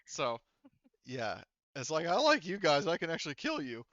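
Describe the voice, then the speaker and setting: A man's voice: fairly high pitch, wildly swooping pitch, normal speed, fairly bright tone, no room sound, dull audio. A man speaking as game master, narrating and explaining rules in an informal, joking way. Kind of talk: casual tabletop roleplaying game narration.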